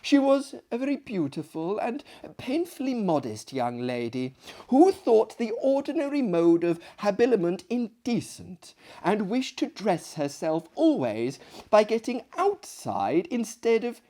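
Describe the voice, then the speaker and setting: A man's voice: sits high, very animated, unhurried, warm tone, pitched above the performer's own voice, full character voice. A man voicing a coy, earnest, sweetly mad young lady in a story reading. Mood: coy, eager.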